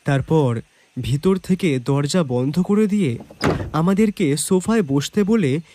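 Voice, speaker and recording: A man narrating a detective story as the detective's companion, in an expressive dramatised scripted style. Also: a little high, a little slow, slight background noise. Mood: reflective, atmospheric.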